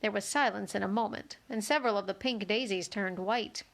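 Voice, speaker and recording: A woman's voice: mid-range voice, fairly quick, vocal fry. A woman narrating a children's book aloud as a storybook reader.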